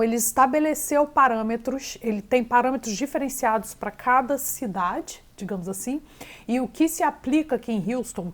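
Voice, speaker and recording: A woman with a Brazilian accent talks easily as an interview guest, sprinkling English terms through her sentences. Her voice sits a bit high for a woman. She explains her work steadily, pausing to find the right word. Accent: Brazilian Portuguese. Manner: mixes English words into Portuguese. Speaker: real estate agent guest. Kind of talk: casual interview chat.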